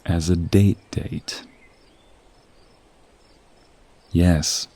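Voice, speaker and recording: Male actor as smooth-talking office crush for romantic audio role play: low, husky, confident, teasing. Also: steady tone, takes it slowly, mellow, exaggerated voice. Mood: persuasive, warm. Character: flirty, confident male office coworker asking out a friend.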